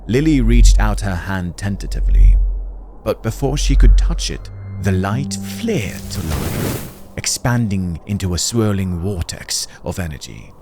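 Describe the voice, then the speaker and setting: Deep-voiced man narrating, slow and animated. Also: over loud music. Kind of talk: scripted dramatic story narration.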